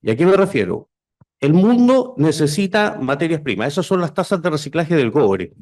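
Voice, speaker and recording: A man's voice: a little high, big pitch swings, moderate pace, a little mellow. A Chilean man speaking as a conference presenter, informal and conversational, self-deprecating and repeating points for emphasis. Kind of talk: informal presentation to a seminar audience.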